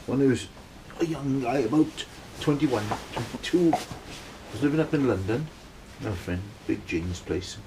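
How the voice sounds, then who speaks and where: A man's voice: medium pitch, lively intonation, unhurried, background noise. Welsh man telling a rambling story. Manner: rambling, keeps checking in with "right?". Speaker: band member telling a story. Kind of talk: casual storytelling.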